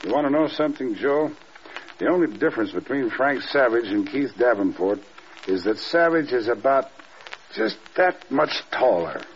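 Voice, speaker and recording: An actor playing a loyal, dry-humored military adjutant in a vintage radio drama, wry and matter-of-fact. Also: male voice, mid-range voice, expressive, normal speed, lightly acted. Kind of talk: 1940s-50s radio drama acting. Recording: muffled radio recording, loud background noise.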